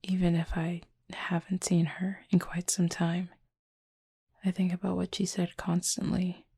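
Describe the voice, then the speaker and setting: Woman voicing a soft, husky, low-pitched gentle Cupid. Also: monotone, exaggerated voice. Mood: tender, wistful. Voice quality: soft, breathy, husky, often near-whisper. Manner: slow, measured, formal storytelling with soft pauses. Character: gentle adult Cupid roommate, wistful, affectionate, softly teasing. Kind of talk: intimate ASMR audio roleplay.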